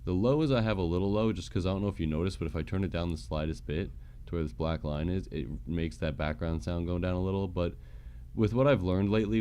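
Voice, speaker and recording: A man with a deep, low-pitched voice talks casually, as a livestreamer would. His delivery is rambling and he often cuts himself off mid-thought while walking listeners through a technical demonstration. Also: warm tone, slight background noise. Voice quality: naturally deep voice. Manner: rambling, self-interrupting.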